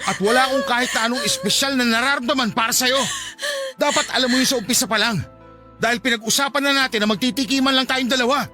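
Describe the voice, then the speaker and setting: A flustered cheating husband played by a man in a radio drama. His voice is very high and forceful, insistent in his protests, with music playing underneath. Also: fairly even intonation, quick pace, exaggerated voice. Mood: firm, rejecting.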